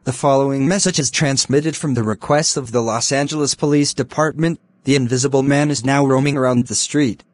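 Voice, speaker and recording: A man reading scripted emergency broadcast announcements in a formal, flat synthetic delivery. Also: mid-range voice, fairly quick, hardly any pauses, no room sound.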